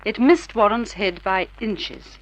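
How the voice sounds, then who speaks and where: An actress playing a poised, polite and serious wife in an old radio drama. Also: female voice, medium pitch, animated, takes it slowly. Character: poised, exasperated wife seeking a detective's help. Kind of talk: mid-century radio drama acting. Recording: muffled vintage radio drama recording.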